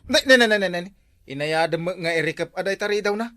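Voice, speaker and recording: A man's voice: a little high, fast talker, a bit bright, no room sound, studio quality, lightly acted. A man with an Ilocano accent playing a boastful, fussy car owner in a village comedy drama.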